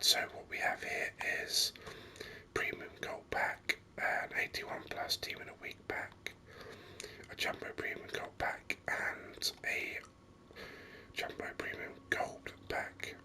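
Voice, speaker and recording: A gaming host whispering ASMR commentary very close to the microphone in a rambling, hesitant way. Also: pushed and bright. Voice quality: breathy unvoiced whisper. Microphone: microphone very close to the mouth. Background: voice-over on game screen capture.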